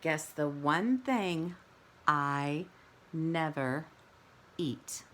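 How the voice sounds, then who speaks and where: Storybook wolf, played by a woman reader: fierce hunter, sly and hungry, drawn-out deliberate lines, bright edge. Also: low-pitched, lively intonation, pitched below the performer's own voice, exaggerated voice. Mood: sly, menacing. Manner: slow, drawn-out, deliberate delivery. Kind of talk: children's picture book read-aloud.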